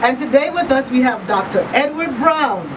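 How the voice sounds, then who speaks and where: A woman's voice: sits high, lively intonation, normal speed. A woman hosting a live call-in talk show in a casual, chatty, enthusiastic way. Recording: noisy, slightly distant mic.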